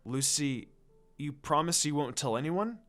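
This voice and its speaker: A man's voice: on the high side, lively intonation, a little slow, fairly bright tone. A man voicing a brooding, vengeful young ninja boy, grave and controlled, in a story reading. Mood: nervous. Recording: music under the voice.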